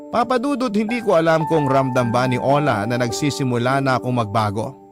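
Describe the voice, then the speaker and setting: A man narrating a first-person story as a radio storyteller reading a letter, in a dramatic scripted style. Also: mid-range voice. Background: music bed.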